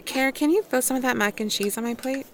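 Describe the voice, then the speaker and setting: A woman's voice: sits high, fast, a crowd in the background. An actress playing a defensive, snippy young career woman in an audio drama. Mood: defensive, exasperated. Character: defensive young career woman Mouse, estranged hometown friend, quick-tongued.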